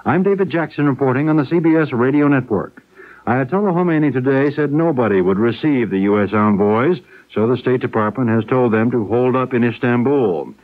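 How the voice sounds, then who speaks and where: A male radio news anchor reads scripted bulletins at a medium pitch. The broadcast sounds thin and tinny. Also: brisk.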